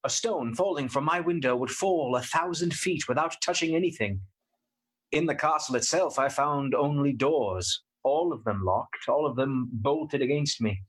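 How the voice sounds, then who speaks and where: An actor playing a polite, earnest young gentleman in a radio play, speaking precisely and formally. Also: mid-range voice, moderate pace, close to an everyday voice, rough recording. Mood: uneasy, grave. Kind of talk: radio play acting with diary narration.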